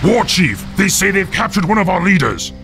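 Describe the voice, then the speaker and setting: An actor voicing a loyal orc grunt in a fantasy game, gruff and dutiful as he reports to his chief. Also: high voice, big pitch swings, moderate pace, music in the background, exaggerated voice.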